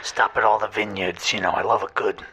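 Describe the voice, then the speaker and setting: An actor playing a big, awkward, romantic bouncer calling a radio show, halting and blunt, with a husky, gravelly voice. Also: male voice, lowish voice, steady tone, bright, forceful tone, poor audio. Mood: wistful, earnest. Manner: halting, repeating himself, blunt. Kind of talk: improvised audio comedy dialogue.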